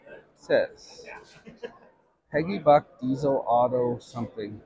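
A middle-aged man with a husky voice chatting casually as he shops for a vlog. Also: mid-range voice, slow pace, crowd noise, low-quality recording. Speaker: vlogger shopping at a swap meet. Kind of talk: casual chat. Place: large busy indoor swap meet hall.

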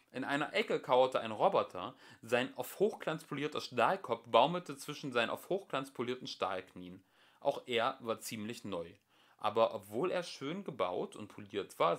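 Young man reading an audiobook, bright and lively. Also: mid-range voice. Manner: lively, expressive reading with dry comic timing. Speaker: amateur audiobook reader. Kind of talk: audiobook narration. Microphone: home microphone.

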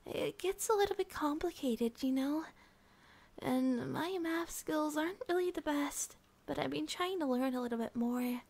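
A woman playing a sleepy, affectionate young schoolgirl girlfriend in an ASMR roleplay, speaking softly and breathily, often near a whisper. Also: high-pitched, animated, normal speed. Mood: tender, tired. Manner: soft, breathy, often whispering, with sighs and giggles.

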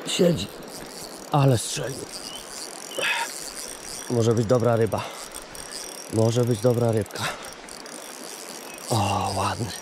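A man with a Polish accent talks slowly and excitedly to himself while he fishes, coaxing and muttering. He is recorded on a chest-mounted action camera on a riverbank, with loud rushing water behind him. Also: lowish voice. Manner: excited muttering, coaxing the fish with repeated words. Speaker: angler filming his catch. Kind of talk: excited fishing commentary to camera. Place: outdoors on a riverbank. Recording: loud river and outdoor noise.

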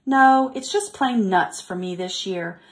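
A woman's voice: mid-range voice, unhurried, close to an everyday voice. A woman voicing a modest, plain-spoken female squirrel in a children's storybook. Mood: wistful.

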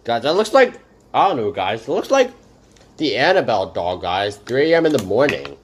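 A man's voice: mid-range voice, big pitch swings, moderate pace, a little hiss or hum. A man hosting a reaction video, rambling casually and addressing viewers as "guys" in nearly every sentence. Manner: rambling, says "guys" constantly. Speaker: reaction channel host.

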